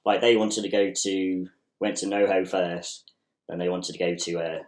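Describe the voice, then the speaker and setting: A young man from England, hosting a casual podcast chat in a relaxed, laid-back way. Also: sits low, normal speed. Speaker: podcast host. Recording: roomy echo.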